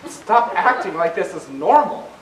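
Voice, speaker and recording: A man's voice: sits high, big pitch swings, a little slow, fairly bright tone, heavily acted. A man playing an indignant, self-important young debater with earnest, pompous delivery in an amateur stage play. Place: stage in a hall. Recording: echoey hall, distant mic, faint noise.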